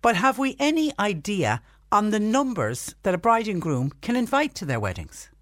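Lively woman radio host. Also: mid-range voice, no room sound, clean studio sound. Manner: brisk and lively questioning. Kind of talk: radio interview. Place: radio studio.

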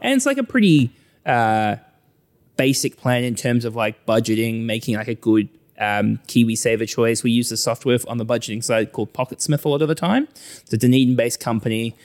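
A man's voice: mid-range voice, a little fast, darkish tone. A man with a New Zealand accent talking as a financial adviser in a conversational interview, often voicing imagined clients to illustrate his explanations.

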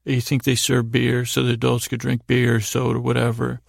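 Male podcast host, very creaky and flat in pitch, casual rambling bedtime storyteller, self-deprecating. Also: moderate pace, dry recording, studio quality. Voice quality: gravelly, creaky. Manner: meandering, self-deprecating digressions.

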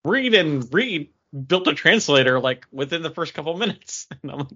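A man's voice: a little high, expressive, normal speed, pushed and bright, muffled recording. A man co-hosting a podcast, chatting casually about comic books in an enthusiastic, rambling way. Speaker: podcast co-host. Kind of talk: casual comic book review chat.

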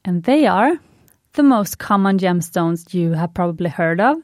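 A woman's voice: lowish voice, animated, measured pace, no echo. Woman, Swedish native speaker, podcast host, enthusiastic, punny, dry close sound. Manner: chatty, enthusiastic, fond of puns. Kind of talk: scripted podcast monologue.

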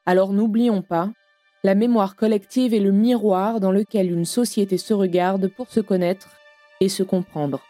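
A woman's voice: mid-range voice, steady tone, moderate pace, dark tone, dry recording, soft background music. A woman presenting in a solemn, literary, scripted narration style. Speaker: podcast presenter.

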